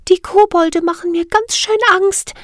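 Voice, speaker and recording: A woman reading a storybook voices a tiny, cheerful female fairy with a bright, bell-like voice. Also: extremely high pitch, unhurried, dry recording, clean studio sound, voice pushed up. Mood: fearful. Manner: excited, later trembling.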